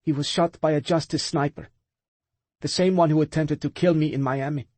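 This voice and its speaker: A proud young woman, put on by a male narrator, speaks with steady earnestness. The voice sits higher than his own, mellow and a little husky. Recorded dry and close. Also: moderate pace, no echo, lightly acted. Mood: earnest.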